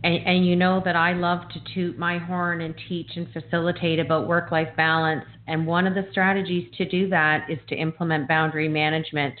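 A woman's voice: lowish voice, little pitch movement, fairly quick, hardly any pauses, a little hiss or hum. Enthusiastic woman host in her fifties. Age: 50s. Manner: enthusiastic, complimentary, repeats words at starts. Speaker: podcast host. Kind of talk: interview talk. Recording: phone-like remote line.

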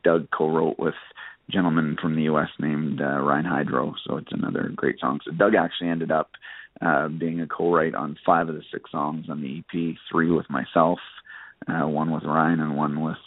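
A man, a country singer giving casual, halting interview answers full of hesitations. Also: a bass voice, little pitch movement, dry recording. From Canada. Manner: halting, frequent hesitations and you-knows. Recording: narrow phone-like line.

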